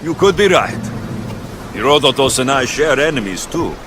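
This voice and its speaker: An actor playing a determined, wry young Greek warrior in a video game, speaking directly. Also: male voice, medium pitch, lively intonation, moderate pace, close to an everyday voice. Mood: serious, resolute. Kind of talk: dramatic video game dialogue. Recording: noisy outdoor ship ambience behind voice.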